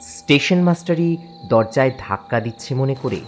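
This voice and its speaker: A man's voice: medium pitch, expressive, normal speed, soft background music. A man narrating a scripted horror story as a storyteller, in a dramatic style.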